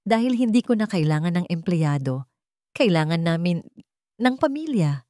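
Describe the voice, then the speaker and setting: Woman as a stern, protective rich father, lower-set voice. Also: very animated, normal speed, mellow, no room sound, studio quality. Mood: earnest. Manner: cold, commanding. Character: stern wealthy father, protective, icy, commanding man.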